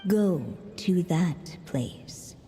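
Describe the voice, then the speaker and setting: A woman voicing a mysterious, wise fairytale storyteller in a video game, measured and enigmatic. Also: on the low side, expressive, warm tone, soft background music. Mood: solemn, mystical. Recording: long hall-like reverb.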